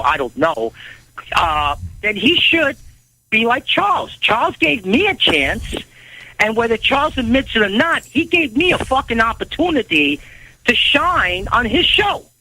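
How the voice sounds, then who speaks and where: Brash, high, swearing phone guest. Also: male voice, big pitch swings, moderate pace, bright, forceful tone, no room sound, low-quality recording. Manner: profane, stammering, boastful. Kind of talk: brash casual radio chat.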